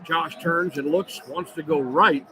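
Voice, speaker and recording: Higher-voiced man calling basketball over arena noise. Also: a little slow. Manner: clipped, running description of the action. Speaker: play-by-play radio announcer. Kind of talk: live basketball radio commentary. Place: indoor sports arena, courtside. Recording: loud arena noise behind. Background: loud ambient arena noise.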